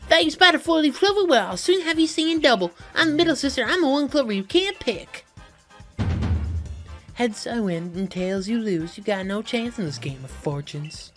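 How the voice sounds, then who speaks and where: A woman's voice: fairly high pitch, sing-song, normal speed, fairly bright tone. Woman acting a smug bandit sister, music behind. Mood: taunting. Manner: cocky, menacing.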